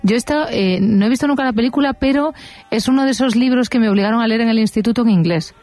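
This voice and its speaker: A woman's voice: a little high, quick pace, darkish tone. Woman hosting a Spanish radio phone-in. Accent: Spanish, from Spain. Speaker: radio call-in host. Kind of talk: casual phone-in chat. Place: radio studio.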